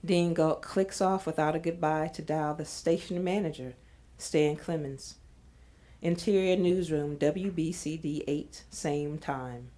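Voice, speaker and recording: A woman narrates an audio drama from a script. Her voice is low and mellow, and she reads out scene headings and stage directions. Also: steady tone, unhurried.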